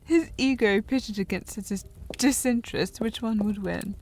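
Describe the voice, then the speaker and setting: A woman acting a haughty, slightly tipsy young upper-class lady in a historical romance audio drama, with prim formal diction and a breathy voice that often drops to a whisper. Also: mid-range voice, moderate pace, darkish tone, lightly acted. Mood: wistful, tipsy. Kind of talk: historical romance audio roleplay, intimate one-sided dialogue.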